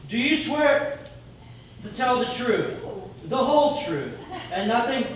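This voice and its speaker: A man's voice: very high voice, animated, takes it slowly, exaggerated voice. A man playing a stern, declamatory court bailiff in a theatrical stage comedy. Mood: officious. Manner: declamatory, officious. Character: stern, booming court bailiff administering oaths. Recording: distant, very echoey stage recording, faint noise.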